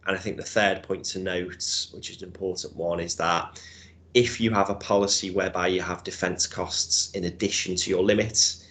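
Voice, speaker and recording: Deep-voiced Scouse man presenting. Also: fairly even intonation, normal speed, fairly bright tone. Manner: explanatory, conversational, with hedges and tag questions. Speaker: insurance broker presenting a webinar. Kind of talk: webinar presentation.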